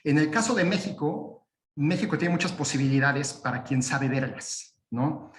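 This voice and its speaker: A man speaking as a guest lawyer in a webinar, talking in a halting way with many "eh" fillers and restarts. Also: on the high side, lively intonation, echoey room. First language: Spanish. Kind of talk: webinar presentation. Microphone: video call.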